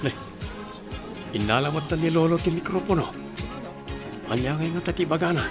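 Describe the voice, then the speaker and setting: A man's voice: on the high side, normal speed, over music, lightly acted. A man playing an earnest, tender young bridegroom in an Ilocano radio drama. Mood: uneasy.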